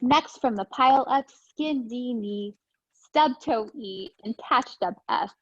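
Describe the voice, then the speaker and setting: A woman teacher reading aloud to young children in an expressive, sing-song, encouraging way. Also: a little high, takes it slowly, slightly rough, dry recording, lo-fi sound. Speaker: preschool teacher reading storytime.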